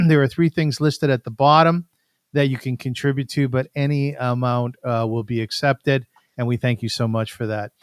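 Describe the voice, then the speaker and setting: A man's voice: medium pitch, fairly even intonation, moderate pace, clean tone, no room sound, clean studio sound. A man hosting an interview, effusive with praise and prone to repeating himself as he restarts his sentences. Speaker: show host.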